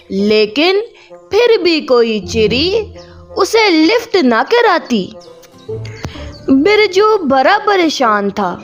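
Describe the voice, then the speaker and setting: A woman narrating a scripted children's cartoon story in a deliberate, phrase-by-phrase storytelling manner. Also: high-pitched.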